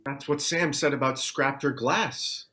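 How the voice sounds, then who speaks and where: A man plays a concerned survivor in an audio drama table read. He is sober and practical, with a voice of medium pitch. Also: measured pace, pushed and bright.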